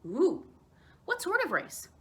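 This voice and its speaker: A woman voicing an eager young female moose in a children's story, excitable, playful and determined. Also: very high voice, slow, pitched above the performer's own voice, heavily acted. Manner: excitable, bouncy delivery.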